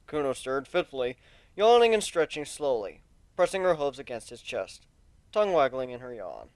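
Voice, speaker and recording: A man's voice: medium pitch, big pitch swings, normal speed, dry recording. A man narrating a story as a dramatic reading. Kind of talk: dramatic reading of fan fiction.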